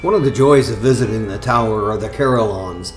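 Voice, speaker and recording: A man narrating a travelogue as its host, in an informal, halting, folksy way. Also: fairly low pitch, soft background music.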